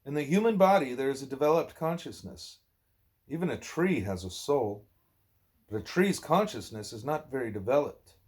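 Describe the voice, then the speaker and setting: Guy reading a religious dialogue out loud at home, mid-pitched voice, deliberate, fixes his slips. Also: animated. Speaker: book reader, parent. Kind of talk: home read-aloud of a spiritual dialogue.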